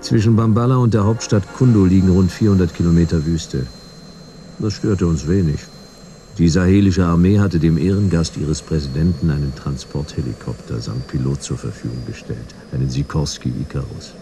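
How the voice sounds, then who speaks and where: An actor playing a laconic, deadpan detective who narrates his own case in a noir radio drama. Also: normal speed, close to an everyday voice. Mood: dry, wry. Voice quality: very deep, gravelly. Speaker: narrator and lead character. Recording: engine noise behind the voice. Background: vehicle engine rumble.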